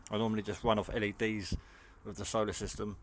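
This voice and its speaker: Low-pitched man giving a casual gadget demo. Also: level tone, quick pace, slight background noise. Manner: hesitant, rambling, matter-of-fact. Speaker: hobbyist radio reviewer. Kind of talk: casual hands-on product demonstration. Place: garage.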